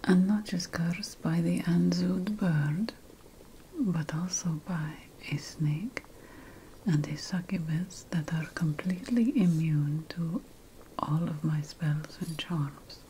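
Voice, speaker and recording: A woman playing an ancient Sumerian witch priestess in a whispered ASMR fantasy role-play, breathy, solemn and deliberate as she addresses an apprentice. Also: on the low side, mellow, a little hiss or hum, rough recording. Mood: solemn warning.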